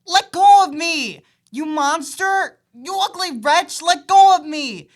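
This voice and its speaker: A woman acting a frightened, defiant small boy in a radio play, crying out in drawn-out protest. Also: very high-pitched.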